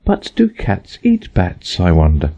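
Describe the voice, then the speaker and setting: A man's voice: low-pitched, huge pitch swings, measured pace, dark tone, full character voice. A man voicing a curious, chattering, earnest little girl who muses primly aloud to herself in an audiobook. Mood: wistful. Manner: musing aloud to herself, prim. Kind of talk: audiobook character voice.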